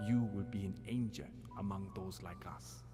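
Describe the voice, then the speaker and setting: Guy doing solemn kindly prophet from a game, low-ish soft dark voice, hushed and reverent. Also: normal speed, faint music behind, lightly acted. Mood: solemn, reassuring. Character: solemn, kindly male seer giving prophecy. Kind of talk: dramatic video game acting.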